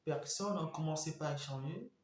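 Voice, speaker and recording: Animated storytelling man in an echoey room. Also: a little high, normal speed. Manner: animated, repetitive, addresses viewers directly. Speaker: vlogger telling a story. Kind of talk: casual storytelling monologue.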